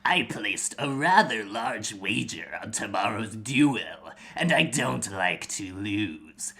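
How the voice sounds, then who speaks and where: A man playing a decrepit, threatening old master in a fantasy reading, speaking slowly and deliberately. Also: fairly low pitch, lively intonation, gravelly, bright, forceful tone, full character voice. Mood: cold, threatening. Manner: slow, deliberate, menacing. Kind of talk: amateur fantasy reader's theater.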